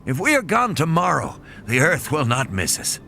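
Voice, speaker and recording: A man's voice: on the high side, huge pitch swings, normal speed, creaky, bright, forceful tone, soft background music, heavily acted. A man voicing a weary, cynical scientist who lectures with scorn in emphatic, dramatic phrases for an audio play. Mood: scornful, grave.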